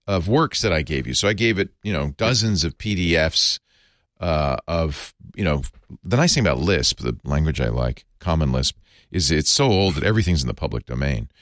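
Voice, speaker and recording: A man co-hosting a podcast, chatting casually about software and explaining with asides and chuckles. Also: low-pitched, lively intonation, normal speed, no echo, studio quality. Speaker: podcast co-host. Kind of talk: casual tech chat.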